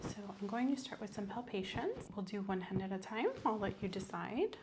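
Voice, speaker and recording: A woman doing an ASMR doctor roleplay, soft-spoken, gentle and instructive, often slipping into whispers. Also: mid-range voice, lively intonation, fairly quick, very noisy. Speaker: ASMR performer role-playing a doctor.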